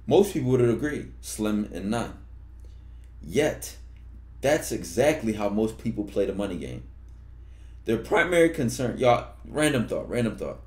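A young man streaming from his bedroom on a headset, reading a book aloud with relaxed, slangy asides. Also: mid-range voice, roomy sound. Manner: relaxed, slangy, loud outbursts when joking.

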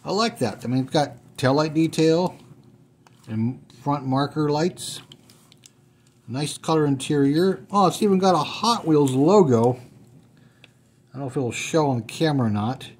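A man's voice: fairly high pitch, measured pace, long pauses, slight background noise. A middle-aged man chatting casually as he unboxes old toy cars at a table at home, thinking aloud as he handles each one. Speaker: toy car collector unboxing.

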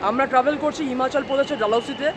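Young bengali guy vlogging into his phone, quick and high, traffic behind him. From West Bengal. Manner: brisk, mixes English words into Bengali. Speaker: travel vlogger. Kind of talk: on-camera travel vlog. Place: train stations and a bus terminal, indoors and out. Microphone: handheld phone at arm's length.

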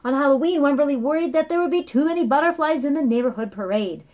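Woman storyteller, picture-book read-aloud, higher-pitched, very lively intonation, expressive phrasing for children. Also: fast, lo-fi sound. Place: small room at home, indoors.

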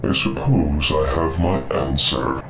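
An actor playing a menacing, pompous video game villain who taunts and gloats. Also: male voice, a bass voice, sing-song, a little slow, creaky, noisy background, heavily acted. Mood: gloating, menacing.

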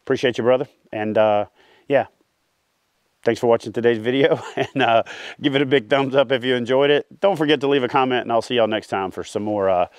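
A man, hosting a fishing channel and giving casual advice straight to camera outdoors by a lake, animated and full of "uh" fillers. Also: medium pitch, brisk, dry recording. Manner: animated, talks with his hands, many "uh" fillers. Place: outdoors by a lake among trees.

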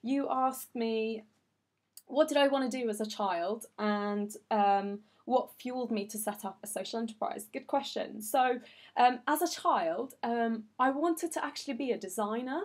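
A woman's voice: on the high side, darkish tone. A young woman from Iran who grew up in Sweden, answering viewer questions in a chatty, earnest vlog from her office. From Iran, raised in Sweden. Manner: chatty and earnest, many fillers. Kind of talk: casual chat.

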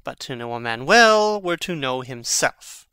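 An actor playing a witty, sardonic young prince in a Shakespearean audio drama, speaking in an articulate, theatrical, mocking way. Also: male voice, medium pitch, lively intonation, a little slow, sharp tone, clean tone, no echo.

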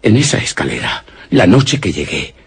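Intense, low, breathy leading man. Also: very animated, moderate pace, full character voice. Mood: suspicious, probing. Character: ardent, suspicious male visitor to a gloomy castle. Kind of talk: Spanish suspense radio drama acting.

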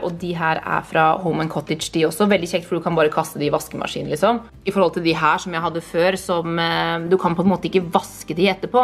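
Chatty young woman touring her home. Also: fairly low pitch. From Norway. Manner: chatty, upbeat, lively asides to viewers. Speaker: vlogger. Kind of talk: casual house tour vlog. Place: bright modern home, indoors. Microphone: handheld camera at arm's length.

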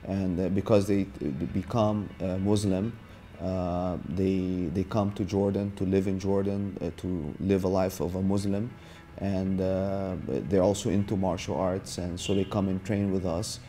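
A deep-voiced man in his forties from Brazil speaks in a documentary interview, his tone mellow and fairly even. He halts often with filler sounds as he talks in a martial arts gym, with soft music underneath. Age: 40s. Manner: halting, many filler sounds. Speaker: jiu-jitsu instructor.

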